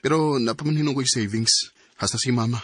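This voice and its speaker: A troubled husband in a radio drama, played by a man, with a husky voice at a middling male pitch. He speaks hesitantly and in a subdued way, grateful yet weighed down. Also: fast talker, no echo, lightly acted. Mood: downcast. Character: troubled, grateful husband burdened by family debts.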